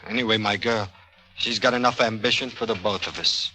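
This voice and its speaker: Radio drama lead: insecure young American jock, earnest, brooding, sharp-edged medium voice. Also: male voice, lowish voice, fairly even intonation, brisk. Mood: unsure. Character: moody, insecure young male college basketball player. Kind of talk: mid-century American radio drama acting.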